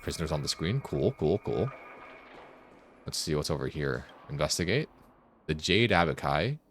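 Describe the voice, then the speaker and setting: A man's voice: extremely low pitch, sing-song, normal speed. A man streaming a game with casual, joking commentary, sometimes crude, talking to himself and reading text aloud. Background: video game music and dialogue.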